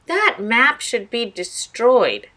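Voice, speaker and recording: Woman putting on a stern doctor type from a kids book, preachy and indignant, pitch swinging around. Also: sing-song, takes it slowly, sharp tone, heavily acted. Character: stern, high-minded doctor and environmentalist.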